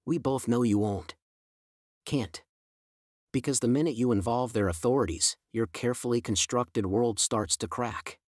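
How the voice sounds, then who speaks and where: A man voicing a menacing young male hitman who speaks in a low, taunting, insinuating way. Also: moderate pace, darkish tone, no room sound, clean studio sound, lightly acted.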